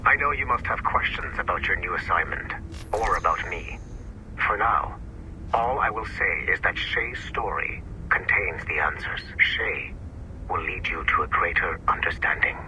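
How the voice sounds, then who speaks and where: An actor playing a grave, secretive corporate overseer in a video game, speaking formally and cryptically. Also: male voice, deep voice, animated, normal speed, sharp tone, slight background noise, full character voice, low-quality recording. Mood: cryptic, grave.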